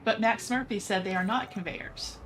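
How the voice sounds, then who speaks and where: A woman's voice: mid-range voice, normal speed, fairly bright tone, slight background noise. A woman reading viewer comments aloud on a gaming livestream, friendly and matter-of-fact. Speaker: livestream chat reader.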